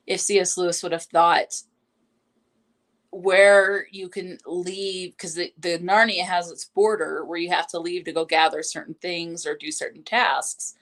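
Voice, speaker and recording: Woman w a lowish voice, casual book chat cohost, kinda tentative and thoughtful. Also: a bit bright. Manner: tentative, reflective. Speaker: co-host. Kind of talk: casual book discussion on a live stream.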